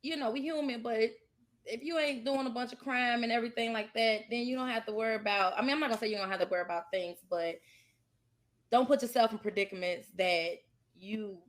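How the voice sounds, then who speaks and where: Halting American woman, casual podcast chat. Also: on the high side. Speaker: podcast co-host.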